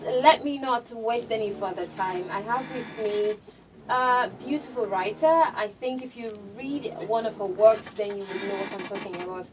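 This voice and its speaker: A chatty woman hosting a radio talk show, laughing easily between questions. Her voice sits some way from the mic, with steady noise running underneath. Her pitch is ordinary for a woman. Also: distant mic. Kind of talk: casual radio interview.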